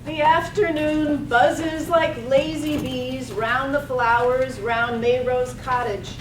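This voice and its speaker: Female narrator, poetic drama reading, unhurried and deliberate, recorded at a distance with low noise. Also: steady tone. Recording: roomy, distant mic, faint rumbling noise.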